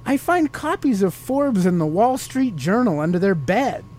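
Animated male podcast host, casual and playful. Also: high-pitched, moderate pace, loud music behind the voice. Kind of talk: casual chat with a child.

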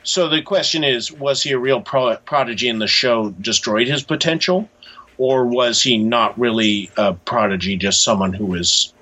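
An American man answering interview questions in a thoughtful, halting, conversational way over a lo-fi remote line. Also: mid-range voice, bright, forceful tone. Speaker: cartoonist and author, interview guest.

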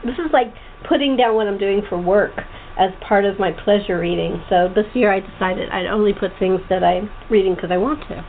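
A woman's voice: mid-range voice, normal speed. A woman hosting a casual interview, chatting in a giggly, rambling way. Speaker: talk show host. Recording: tinny phone line with clear background noise.

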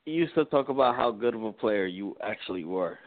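A man's voice: lowish voice, lively intonation, a little fast, over a noisy crowd. A man calling in to a talk show, eager and tumbling over his words, repeating himself as he goes. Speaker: caller. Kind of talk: call-in chat. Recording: tinny phone line.

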